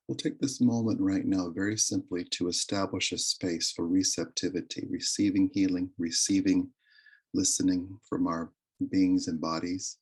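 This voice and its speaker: A man leading a guided meditation with prayer and affirmations, speaking in a soothing, deliberate, reverent way. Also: low-pitched, darkish tone, slightly rough, low-quality recording. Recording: live recording.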